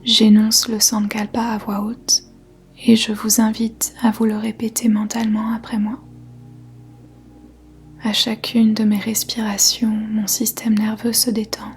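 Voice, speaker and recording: A woman guiding a yoga nidra meditation in a soft, breathy, whispery voice, calmly and in measured short phrases with gentle pauses. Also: on the high side. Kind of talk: guided meditation, slow soothing instruction. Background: faint music.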